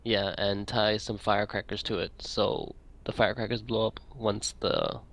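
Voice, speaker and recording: A man's voice: lowish voice, level tone, normal speed, a bit bright. A man casually improvising in a group role-play voice chat, insistently repeating himself to get his ideas heard. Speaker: tabletop role-play player. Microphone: online voice chat.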